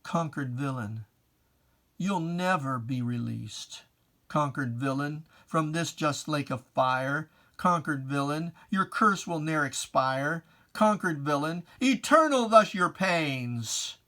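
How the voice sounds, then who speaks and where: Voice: medium pitch, animated, slow, bright, forceful tone. A person giving a dramatic reading of religious verse in a solemn, declamatory and emphatic manner with archaic diction. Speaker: poetry reciter.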